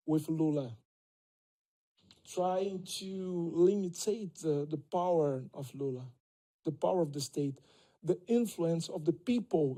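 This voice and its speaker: A middle-aged man with a Brazilian Portuguese accent, speaking as a panelist at a debate in a lecture hall. He talks haltingly, repeats words and keeps checking that listeners understand. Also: fairly high pitch, mellow. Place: lecture hall stage, seated at a table. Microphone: table microphone.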